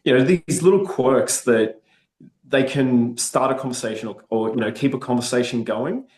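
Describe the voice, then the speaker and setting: A man's voice: mid-range voice, normal speed, a little mellow. Upbeat Australian man, echoey room. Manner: upbeat, chatty, frequent restarts. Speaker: guest. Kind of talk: casual interview.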